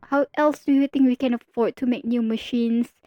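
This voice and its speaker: A woman's voice: fairly high pitch, steady tone, normal speed, no room sound, highs cut off, natural voice. A woman playing a theatrical, playful supervillain in an ASMR roleplay, with a sarcastic, drawling, mock-dramatic delivery. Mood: wry, exasperated. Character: teasing, theatrical female supervillain, playful and world-weary.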